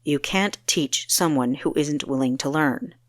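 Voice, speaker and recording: A woman voicing a stern seventeen-year-old male ruler in an audiobook, sharp, grave and authoritative. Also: deep voice, a little slow, no room sound, studio quality, pitched below the performer's own voice.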